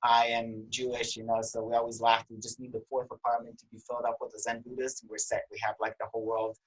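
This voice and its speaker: Man, interview host, mid-pitched, chatty and affirming, tells anecdotes. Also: monotone, fast talker, breathy edge, poor audio. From Israel. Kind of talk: conversational interview.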